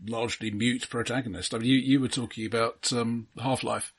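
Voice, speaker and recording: A man co-hosting a casual film discussion in a measured, analytical way, with hesitant phrasing. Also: medium pitch, steady tone, slightly rough. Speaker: podcast co-host.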